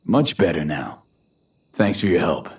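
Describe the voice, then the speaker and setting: An actor playing a weary, guarded young mercenary in a video game, speaking in terse, understated sentences. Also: male voice, low voice, sing-song, unhurried. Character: weary, guarded young male mercenary, stubbornly downplaying trouble. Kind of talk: naturalistic video game acting.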